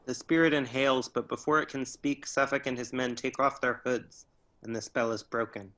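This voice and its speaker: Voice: mid-range voice, steady tone, moderate pace, fairly bright tone. A narrator reading out scene headings and stage directions in plain scripted narration.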